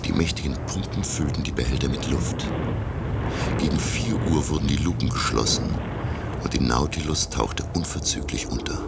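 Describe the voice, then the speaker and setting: A learned, earnest professor with an extremely low, gravelly voice. He tells of his sea voyage in measured, literary radio drama narration, over heavy rumbling noise. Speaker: narrator and lead character.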